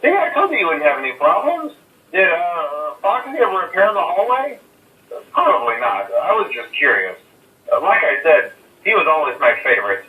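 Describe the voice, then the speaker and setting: Nervous phone caller, filler-heavy, rambling, distant, dull lo-fi phone recording. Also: male voice, sits high, big pitch swings, moderate pace. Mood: easygoing. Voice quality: hesitant with many "uh" fillers. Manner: casual, rambling, reassuring. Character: nervous male night-guard phone caller. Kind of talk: recorded game voice lines. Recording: muffled echoey phone-message recording.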